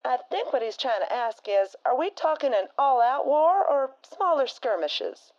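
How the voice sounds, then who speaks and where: A woman's voice: high-pitched, very animated, moderate pace, lo-fi sound. A woman playing a blunt, folksy frontier woman who talks with a drawl and slang as a radio co-host in a fan audio drama.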